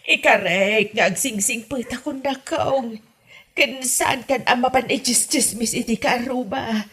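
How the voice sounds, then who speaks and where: A woman playing a stingy, meddling Ilocano mother-in-law in a comedy audio drama, with an Ilocano accent and a husky voice. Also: a little high, measured pace, a bit bright. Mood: complaining.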